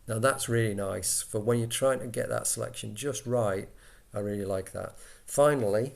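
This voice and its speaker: Low, slightly creaky man's tutorial voice. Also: moderate pace, soft background music. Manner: explains step by step, conversational. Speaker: software tutorial instructor. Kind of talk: informal screen-recorded software walkthrough.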